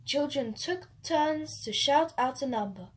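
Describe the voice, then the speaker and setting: A woman storyteller reading a children's picture book aloud in an expressive, unhurried way. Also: sits high.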